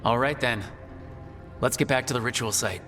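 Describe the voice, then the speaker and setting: Actor as grave mage hero in long reverb. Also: male voice, mid-range voice, moderate pace, a bit bright, lightly acted. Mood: resolute. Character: composed male mage hero, grave and resolute leader. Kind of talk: video game dialogue acting. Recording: long reverb, game music behind.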